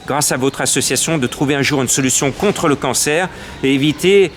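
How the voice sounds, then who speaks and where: A man's voice: medium pitch, fairly quick, a little hiss or hum. A man organizing a theatre event, speaking in an upbeat, inviting promotional interview.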